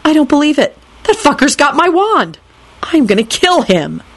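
Woman as sulky young wizard, rude, shouty, pitched up high. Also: sing-song, normal speed, no echo, exaggerated voice. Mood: defiant. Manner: indignant, shouting.

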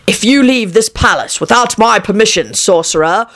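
A woman's voice: mid-range voice, lively intonation, normal speed, a bit bright, pitched above the performer's own voice. Woman playing a pompous, swooping fairy-tale king. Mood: menacing. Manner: pompous, commanding. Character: vain, foolish, short-tempered male king in a fairy tale. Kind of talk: storybook character acting.

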